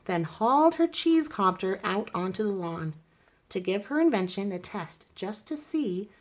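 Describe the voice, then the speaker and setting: A woman's voice: medium pitch, expressive, a little slow. Woman reading a children's storybook. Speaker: storybook reader. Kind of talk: expressive scripted children's story narration in rhyming verse.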